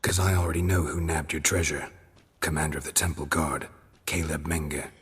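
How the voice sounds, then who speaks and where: An actor playing a gruff, sardonic middle-aged monster hunter in a video game, with a gravelly voice and terse, deadpan delivery. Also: male voice, a bass voice, moderate pace, in a large hall, exaggerated voice. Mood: dry, blunt. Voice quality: gravelly, husky.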